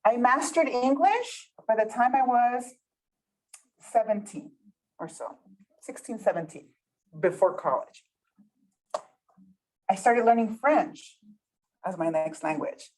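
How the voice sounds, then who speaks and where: A woman's voice: a little high, a little mellow, rough recording. A woman whose first language is Spanish leads a community workshop in a chatty way, often checking in with the group. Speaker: workshop facilitator. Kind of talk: interactive workshop teaching. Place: meeting room, with some people online.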